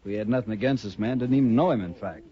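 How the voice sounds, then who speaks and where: A man playing a smooth, polite gunslinger. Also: on the low side, quick pace, close to an everyday voice. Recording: noisy muffled 1950s radio broadcast.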